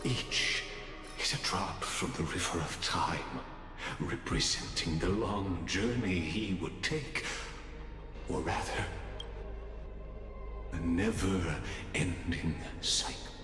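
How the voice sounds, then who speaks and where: An actor playing an enigmatic, courtly male guide who speaks in grandiloquent, deliberate, theatrical phrases. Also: sits low. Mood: knowing, musing. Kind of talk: theatrical scripted game dialogue, reciting verse. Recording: echoey long reverb over music.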